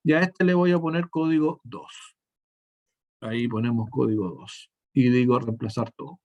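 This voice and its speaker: A male teacher, walking through a software demonstration step by step and often restarting his sentences mid-thought. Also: medium pitch, normal speed, lo-fi sound. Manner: step-by-step explaining, frequent self-corrections and restarts. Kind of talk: screen-share software tutorial.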